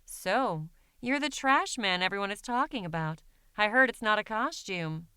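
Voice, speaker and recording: A woman voicing a soot-covered boy chimney sweep who speaks calmly and kindly, in a storybook. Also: medium pitch, moderate pace, clean tone, no echo, clean studio sound.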